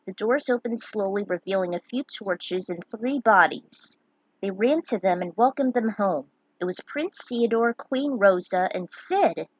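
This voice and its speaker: Husky woman narrating a story, slowly. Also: medium pitch, lively intonation, no echo, rough recording. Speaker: fan fiction reader, narrator.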